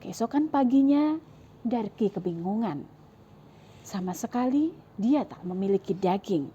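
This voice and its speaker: A woman's voice: medium pitch, lively intonation, a little slow, warm tone, dull audio, slight background noise. A woman reading a storybook aloud to children in a sing-song manner and talking to them directly, recorded on a clip-on mic. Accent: Indonesian. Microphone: clip-on lapel mic.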